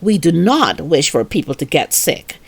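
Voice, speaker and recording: Exasperated mom for children's storybook woman reader: commanding, emphatic, lower-pitched, no-nonsense scolding. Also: sing-song, moderate pace, gravelly, slightly rough, no room sound, exaggerated voice. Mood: stern. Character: stern, exasperated adult mother, firm and commanding. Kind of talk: animated children's storybook reading.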